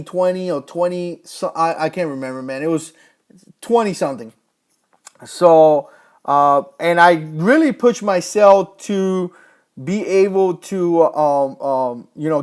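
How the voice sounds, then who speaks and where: A man's voice: a little high, a little mellow. A man in his thirties, born in Cuba, chatting casually on a livestream as a former Marine, rambling and correcting himself as he reads viewer comments. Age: 30s. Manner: rambling, self-correcting, storytelling.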